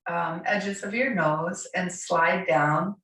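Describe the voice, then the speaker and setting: A hoarse woman in her forties with a raspy voice from a cold, gently guiding a yoga class with soft, soothing instructions. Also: fairly low pitch, fairly even intonation. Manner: slow, soothing, softly encouraging. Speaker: yoga teacher. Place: bright home studio room with large windows. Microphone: camera across the room. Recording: echoey room, voice from far off.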